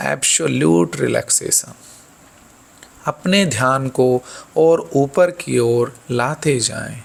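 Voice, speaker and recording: A man with a gravelly, creaky voice guides a meditation at a slow pace. He sounds like a yoga instructor, soothing and deliberate. His instructions come in short, measured phrases at an ordinary male pitch.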